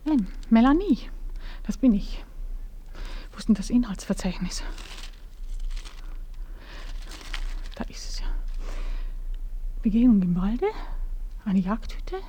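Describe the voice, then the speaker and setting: A woman's voice: a little high, animated, unhurried, long pauses, warm tone, husky. A nervous noblewoman. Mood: musing. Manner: nervous, often whispering. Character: anxious noble lady of the house. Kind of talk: radio play acting.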